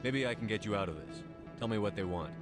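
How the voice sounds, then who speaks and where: A man's voice: lowish voice, animated, quick pace, lightly acted. An actor playing a male military commander with a firm, clipped delivery. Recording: game audio with music.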